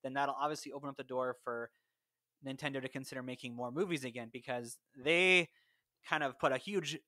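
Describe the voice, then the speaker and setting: A man's voice: medium pitch, steady tone, brisk, bright, forceful tone, dry recording. A man hosting a podcast in a conversational style on a close mic. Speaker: podcast host. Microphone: close personal mic, remote recording.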